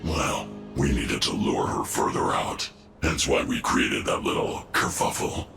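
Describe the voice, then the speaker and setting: An actor playing a smug, menacing game villain who talks with condescending mock sympathy in a gravelly voice. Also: male voice, extremely low pitch, expressive, unhurried, fairly bright tone, heavily acted. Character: smug, menacing male villain making cold excuses. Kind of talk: video game voice acting. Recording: roomy echo, music underneath.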